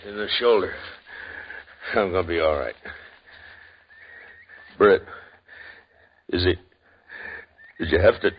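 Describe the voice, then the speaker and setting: An actor playing a wounded frontier man shot in the shoulder, with halting, drawling western speech, in a 1950s radio drama. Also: low voice, full character voice. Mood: pained. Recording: dull old radio broadcast.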